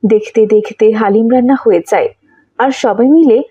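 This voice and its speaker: Children's story narrator, female: higher register, husky, soft-toned, slightly muffled dry track. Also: moderate pace, dry recording.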